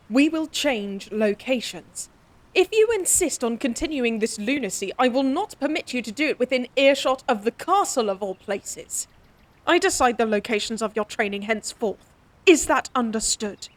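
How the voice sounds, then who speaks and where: A woman playing a stern, prim high-voiced noblewoman. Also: expressive, moderate pace, natural voice. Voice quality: sharp, exasperated, scolding. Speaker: princess's attendant. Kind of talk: fantasy audio drama acting.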